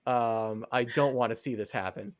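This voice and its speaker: A man's voice: mid-range voice, moderate pace. Young guy podcast cohost rambling along, cracking himself up. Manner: rambling, laughs at his own ideas. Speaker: podcast co-host. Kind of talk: casual chat.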